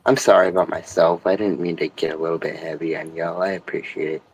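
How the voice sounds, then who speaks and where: A man's voice: deep voice, level tone, fast, slightly rough, rough recording. A young man talking earnestly on a late-night stream, rambling and swearing a lot.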